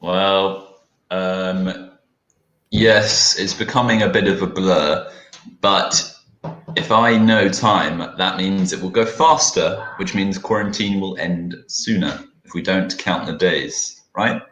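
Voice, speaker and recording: A man from the south of England with a British accent, co-teaching an online English class and trading wry, deadpan jokes over a video call. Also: low-pitched, slow, echoey room, slight background noise, low-quality recording. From Hastings, South England. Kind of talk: live online lesson banter.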